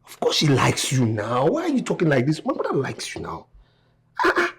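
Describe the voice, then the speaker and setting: A man playing a smitten, flattering young Nigerian man. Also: medium pitch, a little fast. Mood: doting. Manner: teasing, exclamatory, mixes in local words. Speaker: actor. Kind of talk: comedy skit acting.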